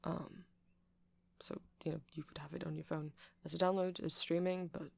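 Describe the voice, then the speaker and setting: A man playing a nerdy, gentle vampire shop clerk in an ASMR roleplay, rambling in a hesitant, softly polite, apologetic way. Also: on the high side, level tone, moderate pace, creaky, no echo. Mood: earnest rambling.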